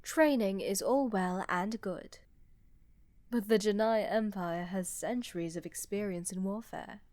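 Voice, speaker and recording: A woman playing a smug, condescending older empress in a fantasy audio roleplay, with measured, deliberate delivery. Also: mid-range voice, a little mellow, no room sound, natural voice. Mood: proud, persuasive. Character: haughty, older, condescending female ruler of a vast empire.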